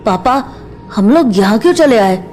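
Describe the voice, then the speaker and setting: A woman's voice: a little high, animated, normal speed, darkish tone, natural voice. A woman voicing a confused, innocent young boy with a hesitant, childlike manner in a horror audio drama. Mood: curious. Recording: music under the voice.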